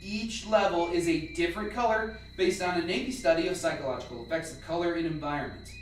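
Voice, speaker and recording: A man acting in an amateur radio play as a calm, instructive scientist briefing a lab team with measured delivery. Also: on the high side, slight background noise, natural voice. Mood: matter-of-fact briefing. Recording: echoey room, distant mic, faint music.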